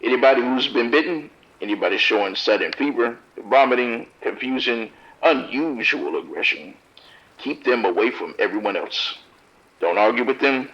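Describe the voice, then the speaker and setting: A man's voice: medium pitch, animated, moderate pace, fairly bright tone. An actor playing an overwhelmed, grave policeman, blunt and commanding in a horror audio drama, heard over a dull phone line. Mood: stern, grave. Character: overwhelmed, grim, firm male police officer. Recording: dull, band-limited phone-line sound.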